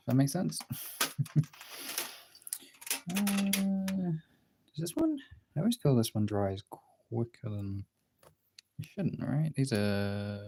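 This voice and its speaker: A young adult livestream host chatting casually and informally while drawing, often muttering to themselves between replies to viewers. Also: mid-range voice. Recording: clear background noise, clicks.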